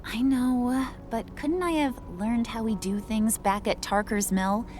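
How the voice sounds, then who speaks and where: An actress voicing a sulky, doubting teenage daughter in a video game, complaining and blunt. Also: female voice, a little high, fairly even intonation, a little slow, darkish tone, soft background music, lightly acted. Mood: bored, sulky. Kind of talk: video game cutscene acting.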